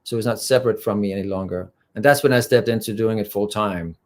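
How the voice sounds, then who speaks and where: A man's voice: on the low side, fast. A man from Denmark, a spiritual channeler talking as a guest in an interview in an earnest, rambling way, often filling with "you know". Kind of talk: casual interview talk.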